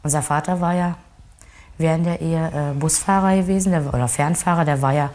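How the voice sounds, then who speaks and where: Woman, middle-aged, low voice, interviewee, plain rambling talk, lots of fillers. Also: fairly even intonation, fairly quick, darkish tone. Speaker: interviewee, victim's sister. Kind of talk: recorded interview recollection.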